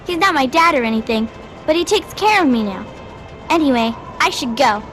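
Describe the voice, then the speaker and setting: A woman's voice: high voice, animated, a little fast, a bit bright, faint music behind. A woman voicing a friendly, guileless young child in a video game, chatty and innocent. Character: friendly, guileless small child living in a wasteland town. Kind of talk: video game character acting.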